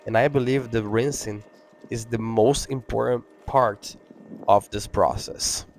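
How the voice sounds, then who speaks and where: A man's voice: mid-range voice, fairly even intonation, creaky, slight background noise. Portuguese-accented man chatting with fillers. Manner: halting, many fillers and restarts. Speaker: window cleaner. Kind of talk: casual talk-over.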